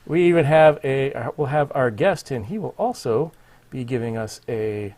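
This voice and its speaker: A man's voice: medium pitch, animated, normal speed, a crowd in the background. A man co-hosting a live show, running the segment in a loose, easygoing way. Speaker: co-host. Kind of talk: casual chat on a live show.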